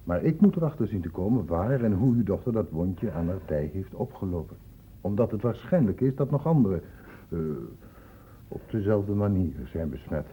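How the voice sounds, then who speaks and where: An actor playing a persistent male doctor investigating an infection in a Dutch radio drama, questioning deliberately. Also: on the low side, very animated, mellow, slight background noise, low-quality recording. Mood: calm, tactful.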